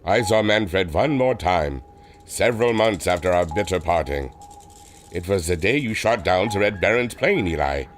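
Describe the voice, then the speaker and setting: A man's voice: low voice, expressive, moderate pace, a little hiss or hum. A man playing an earnest, remorseful German airship adventurer with a German accent, solemn and melodramatic. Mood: solemn confessing. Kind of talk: old-time radio adventure serial acting.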